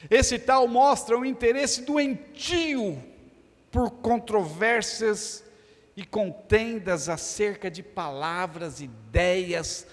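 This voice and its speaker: A man's voice: sits high, slow. A man from Brazil preaching a sermon in a church, joking one moment and stressing lists of words the next. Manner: humorous, storytelling, emphatic lists. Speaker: pastor. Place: church hall. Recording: church hall reverb.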